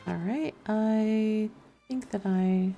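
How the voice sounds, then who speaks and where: A woman's voice: mid-range voice, drawn-out words. A woman game streamer giving casual let's play commentary, thinking aloud to herself. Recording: faint music behind the voice. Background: soft background music.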